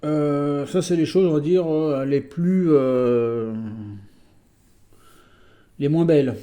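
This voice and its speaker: Chatty French collector with a mellow voice. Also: male voice, fairly high pitch, slow. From France. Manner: chatty, hesitant with many fillers. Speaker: toy vehicle collector and channel host. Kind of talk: casual video presentation.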